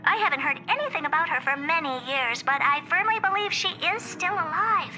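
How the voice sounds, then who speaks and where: A woman's voice: extremely high pitch, expressive, brisk, bright, forceful tone, slight background noise, heavily acted, low-quality recording. A woman voicing a proud, eccentric, youthful female genius scientist in a video game, self-assured and theatrical, delivering scripted monologues. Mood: proud, matter-of-fact. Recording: music behind the voice. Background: music.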